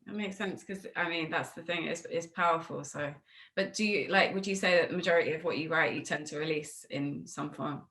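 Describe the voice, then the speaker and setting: Low-voiced woman interviewing casually. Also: fairly quick, a bit bright. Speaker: interviewer, music show host. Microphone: video call.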